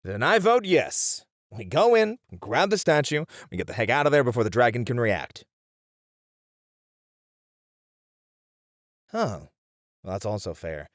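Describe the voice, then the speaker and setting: Man playing a confident, snarky warrior, animated and close. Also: mid-range voice, normal speed, no room sound, close to an everyday voice. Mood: snarky, playful. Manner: reasoning, dryly teasing. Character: confident, snarky male fantasy warrior, teasing rival. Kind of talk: ASMR fantasy roleplay audio drama.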